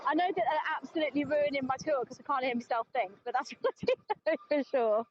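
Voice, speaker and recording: A woman's voice: high voice, fast talker, slight background noise. A middle-aged English woman guiding a live walking tour outdoors, chatty and self-mocking, laughing often and sounding tired. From England. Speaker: tour guide. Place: outdoors on an open plateau. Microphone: phone. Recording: dull lo-fi phone stream.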